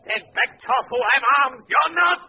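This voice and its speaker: An actor playing a crazed, despairing villain with delusions of mystic power, in theatrical, grandiose old radio style. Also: male voice, very high-pitched, lively intonation, normal speed. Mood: desperate menace. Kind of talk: 1940s radio acting. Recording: noisy lo-fi old radio recording.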